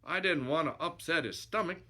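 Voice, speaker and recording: A man as scrappy sailor pugilist, bright forceful voice, cocky, blunt, slangy storybook hero. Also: on the high side, a little fast, husky, voice pushed up. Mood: dry. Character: tough, cocky brawling sailor boxer, weary of fools.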